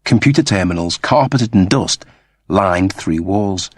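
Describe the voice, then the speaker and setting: Low, animated male storyteller. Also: a little slow, no room sound. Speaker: audiobook narrator. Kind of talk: dramatic scripted fiction narration.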